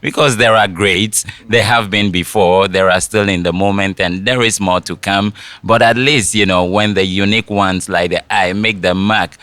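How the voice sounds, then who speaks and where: A man's voice: on the low side, fairly even intonation, moderate pace, hardly any pauses, fairly bright tone, no room sound. A man from Africa working as an interviewer, who asks long, winding questions.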